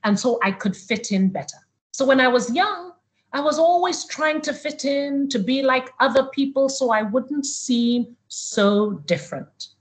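A woman's voice: high voice, animated, unhurried. A middle-aged woman from Uganda giving a motivational webinar talk to young people, telling stories and repeating words for emphasis. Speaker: motivational speaker. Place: online video call.

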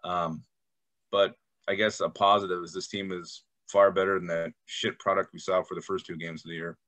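A man's voice: low-pitched, flat delivery, moderate pace, a bit bright. A man co-hosting a casual postgame sports show from home over a video call, frank with wry jokes and a habit of repeating himself. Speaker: co-host of a sports fan show. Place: home room.

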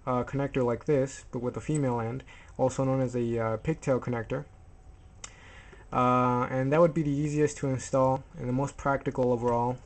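A man presenting a hands-on DIY tutorial in an informal, halting way, correcting himself as he explains. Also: medium pitch, a little mellow.

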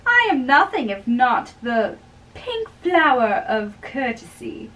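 Woman, young adult, high bright voice, halting dramatic reading, distant microphone, small room. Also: very animated, slight background noise. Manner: halting, stumbles over words. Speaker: amateur reader. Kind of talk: dramatic reading of a play in modern English. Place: small bedroom, indoors. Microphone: far camera.

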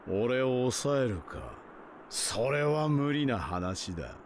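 Imperious warrior villain, commanding. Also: male voice, medium pitch, animated, slow pace, slight background noise. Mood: haughty, menacing. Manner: commanding, disdainful. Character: imperious, arrogant legendary warrior villain, undead man. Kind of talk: Japanese anime game voice acting. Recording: loud background music bed.

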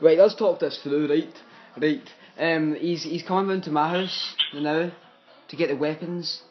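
A man's voice: fairly high pitch, fairly even intonation, a little slow, muffled recording, music in the background. A young man making a casual prank call, talking in a taunting, repetitive, slangy way on a device microphone. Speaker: prank caller.

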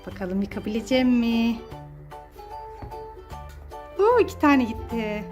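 A woman's voice: fairly high pitch, very animated, a little slow, a little mellow, faint music behind. A woman talking as a mother to her child in a playful home skit, exclaiming and repeating short urgings.

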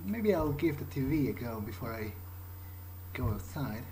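Male gamer, in-character commentary to himself: musing, halting, mid-pitched with lively intonation, loud music behind. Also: slight background noise. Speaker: gaming video host. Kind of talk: in-character roleplay game commentary, thinking aloud. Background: loud game music.

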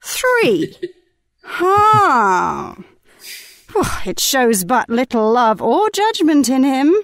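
A woman's voice: sits high, big pitch swings, very slow, a bit bright, no room sound, studio quality, full character voice. A woman playing a petulant, self-important Athenian lord, theatrically indignant, in a Shakespeare play reading. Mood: affronted.

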